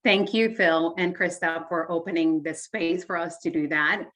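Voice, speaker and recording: A woman's voice: on the low side, fairly even intonation, normal speed, fairly bright tone. A woman in her forties from Honduras, a Spanish native speaker, talking in an earnest, reflective way with frequent fillers and restarts as an interview guest. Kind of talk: casual interview conversation.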